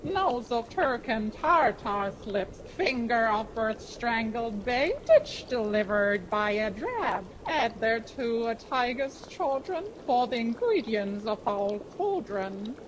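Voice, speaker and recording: Woman doing a slow-chanting old witch. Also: a little high, animated, slight background noise, poor audio. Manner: measured listing recitation. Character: old female witch reciting a spell.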